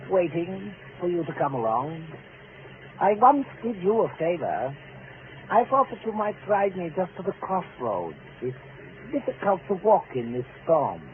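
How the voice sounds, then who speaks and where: An eerie, courteous stranger of doom from an old radio mystery. He speaks very slowly in a higher male voice with insinuating menace, on a scratchy, narrow recording. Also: sing-song. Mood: coolly polite. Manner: deliberate, polite, insinuating. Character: mysterious, calm, ominous male stranger, a messenger of death. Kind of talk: 1940s radio drama acting. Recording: scratchy band-limited 1940s radio recording.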